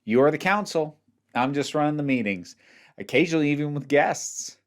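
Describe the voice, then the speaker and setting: A man's voice: a little high, lively intonation, moderate pace. A male-sounding host in their thirties, speaking casually and expressively to viewers in a friendly way. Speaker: host, interviewer. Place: indoor room with shelves of toys.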